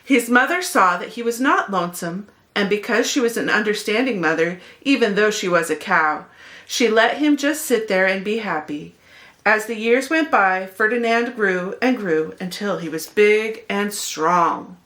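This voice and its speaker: Woman reading a picture book aloud, medium pitch. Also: lively intonation, normal speed, fairly bright tone. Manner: storytelling for young children, addresses the listener. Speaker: children's read-aloud host. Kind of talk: expressive picture-book narration.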